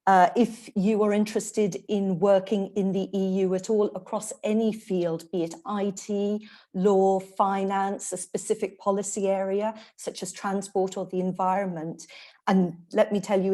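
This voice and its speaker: A middle-aged woman who works as a staff interpreter, giving a measured panel talk with frequent fillers. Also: lowish voice, mellow. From Ireland.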